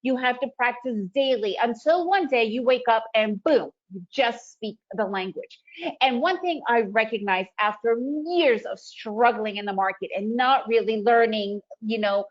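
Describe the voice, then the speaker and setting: A fairly high-voiced woman gives an upbeat trading webinar, stressing her points and firing off rhetorical questions. Russian is her first language, though she grew up in the United States. The sound is dull and lo-fi. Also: normal speed. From former Soviet Union, raised in the United States. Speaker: trading presenter. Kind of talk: webinar presentation.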